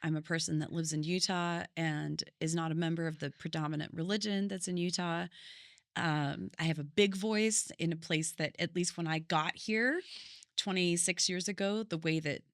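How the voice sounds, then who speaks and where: A woman's voice: lowish voice, steady tone, normal speed, no echo, studio quality. A middle-aged woman, a singer and vocal coach, thoughtfully telling her story as a podcast guest in long, winding sentences. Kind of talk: reflective conversational storytelling.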